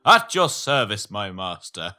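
A man's voice: lowish voice, sing-song, unhurried. A man voicing a jovial, grand genie in a children's cartoon, given to hearty laughter and stately pronouncements. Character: booming jovial male genie, grateful and grand.

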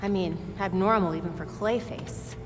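A young woman voicing a brisk, analytical vigilante hero in a game cutscene. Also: on the low side, lightly acted. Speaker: tech-savvy vigilante hero. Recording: reverberant cutscene with music.

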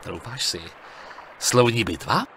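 A sarcastic young monk adventurer from an adventure game, voiced by a man, trading dry, mocking jabs. His voice sits at a middle pitch for a man, but it swings about with lively inflection. He sounds quick-witted and amused with himself. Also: noisy background, natural voice. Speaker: game protagonist.

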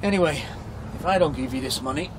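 An actor playing a guarded, sardonic motorist in a naturalistic radio drama, blunt and questioning in manner. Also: male voice, on the high side, fast talker, background noise, close to an everyday voice. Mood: dry, probing. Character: guarded, sardonic male motorist sheltering a hitch-hiker.

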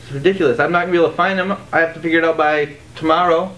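A man's voice: on the high side, steady tone, racing, fairly bright tone, a little hiss or hum, natural voice, rough recording. A man in his thirties acting a sarcastic, exasperated, slangy guy in an amateur short film.

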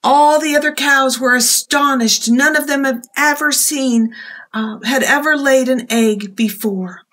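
A woman in her fifties reading aloud at storytime for young children, expressive and deliberate, in a small room. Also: high voice, slight background noise.

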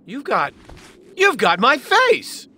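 An actor playing a bewildered, good-hearted martial arts hero in an anime game. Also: male voice, very high voice, huge pitch swings, slow pace, pushed and bright, slight background noise, exaggerated voice. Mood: bewildered, alarmed. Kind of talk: English anime game voice acting.

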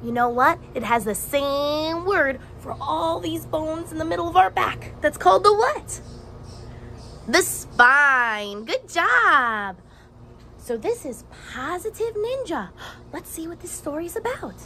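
A young woman with a high, very lively voice teaches as she reads a picture book to children. She is expressive and encouraging, speaking slowly and stressing key words. There is faint outdoor noise behind her. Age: young adult. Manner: expressive, asks questions and pauses for answers; encouraging, with exaggerated gasps and emphasis. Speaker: teacher reading aloud to children. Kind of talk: animated children's picture book read-aloud. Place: outdoors on a house porch.

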